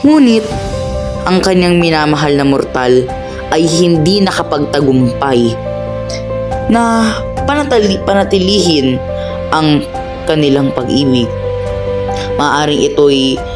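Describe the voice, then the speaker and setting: A man acting as radio DJ and narrator, telling a folk tale slowly and deliberately. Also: a little high. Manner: slow, deliberate storytelling. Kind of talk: storybook narration for a radio play. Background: music and birdsong.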